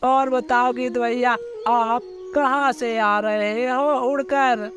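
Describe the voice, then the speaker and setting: A man's voice: very high voice, fairly even intonation, slow, over music, pitched above the performer's own voice. A man voicing a sweet, chatty, friendly little female bird in a children's cartoon moral story. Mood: cheerful, chatty.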